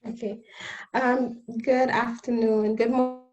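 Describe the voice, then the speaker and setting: A woman in her thirties from Guyana with a Guyanese accent, a guest on a radio interview explaining her new business in an earnest, steady way. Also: on the high side, slow, muffled recording. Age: 30s. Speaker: guest entrepreneur.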